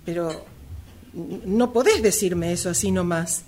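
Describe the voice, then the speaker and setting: A woman's voice: fairly low pitch, measured pace, warm tone, slight background noise, close to an everyday voice, poor audio. An Argentine woman playing a resentful, reproachful married sister in an amateur radio drama.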